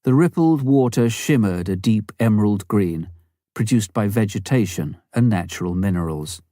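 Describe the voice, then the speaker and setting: A man narrating a missionary memoir as an audiobook, in a measured storytelling manner with careful phrasing. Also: lowish voice, lively intonation, mellow, no room sound, studio quality.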